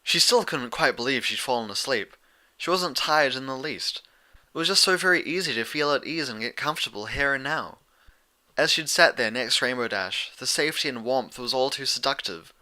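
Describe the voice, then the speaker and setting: A man narrates a story in a very bright, forward voice at a medium pitch. It is scripted audiobook reading in a storyteller's style. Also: animated, normal speed. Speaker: audiobook narrator of fan fiction.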